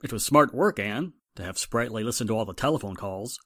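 Casting: actor for earnest vintage radio-drama colleague, rough-edged husky tone, very lively intonation. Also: male voice, lowish voice, fairly quick, no room sound, clean studio sound. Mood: relieved, admiring. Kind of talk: 1940s radio drama acting.

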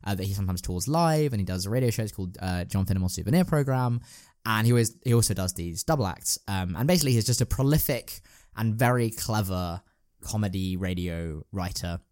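A man's voice: fairly low pitch, expressive, brisk, a little mellow, dry recording, studio quality. A man hosting a podcast, chatting casually and explaining things in a rambling, enthusiastic way. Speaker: podcast host. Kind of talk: casual podcast chat about plays.

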